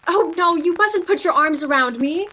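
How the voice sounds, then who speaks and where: A woman's voice: extremely high pitch, normal speed, pushed and bright, a little hiss or hum. A woman playing a prim, flustered young shop clerk in an old-time radio romance. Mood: flustered, astonished.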